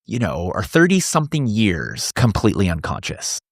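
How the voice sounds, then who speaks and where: A man in his forties talks with a fairly low voice in a relaxed podcast conversation. He is earnest and reflective, often restarting his sentences as he thinks. The sound is close and dry, with no room echo. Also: moderate pace, husky. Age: 40s. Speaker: men's coach, podcast guest.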